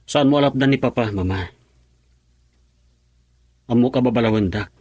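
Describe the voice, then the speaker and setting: An actor with a Filipino accent playing a troubled, frustrated young husband in an Ilocano radio drama. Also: male voice, mid-range voice, normal speed, darkish tone, no echo, close to an everyday voice.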